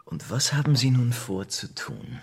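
A man's voice: mid-range voice, lively intonation, unhurried, husky. An actor playing a witty, worldly aristocrat in a German radio drama, suave and ironic in his delivery. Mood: wry.

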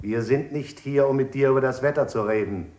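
An actor playing a menacing interrogator in a 1960s radio play, clipped and insistent, with veiled threats. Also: male voice, medium pitch, a little fast, a little mellow, soft background music, close to an everyday voice. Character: menacing male interrogator twisting small talk into threats. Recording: echoing room.

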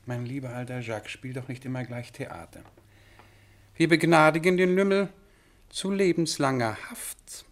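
A man's voice: mid-range voice, moderate pace, natural voice. An actor playing a pompous, thrifty king in a German radio play, grand and condescendingly jovial in manner. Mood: imperious, jovial. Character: pompous, thrifty male monarch of a tiny state.

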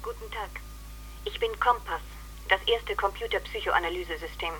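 A woman acting as a female computer psychoanalyst from a radio play. The voice is bright and crisp-edged, speaking formally and probing with pedantic politeness. Clear noise from an old cassette sits under it. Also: medium pitch, normal speed, close to an everyday voice, rough recording. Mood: polite, probing. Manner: formal, precise, methodical questioning. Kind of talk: scripted radio drama.

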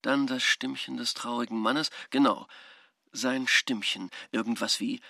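A man's voice: mid-range voice, fairly even intonation, unhurried, gravelly, pushed and bright, no room sound, studio quality, full character voice. An actor playing a shrewd, nosy man thinking aloud as he eavesdrops, musing in clipped phrases in a radio drama. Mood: tense, watchful. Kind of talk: radio drama interior monologue.

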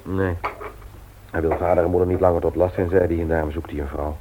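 A man's voice: sits low, flat delivery, fast talker, mellow, lightly acted. An actor playing a teasing, forgetful husband and father in a naturalistic radio drama, speaking in a hesitant, conversational way. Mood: casual. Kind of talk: naturalistic Dutch radio play acting. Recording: noisy old radio play recording, clicks.

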